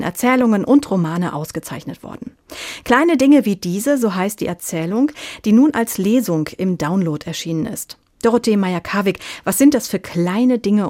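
A woman speaks as the host of a radio book programme. Her pitch sits in the middle range for a woman. She presents in a scripted style and puts questions in an interview. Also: darkish tone.

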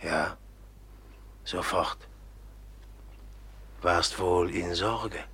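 A low, extremely slow-talking brooding man of a classic radio play. He is terse and menacing, with an ominous intensity. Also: pushed and bright, husky, heavily acted. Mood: secretive, brooding. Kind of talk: German literary radio drama acting.

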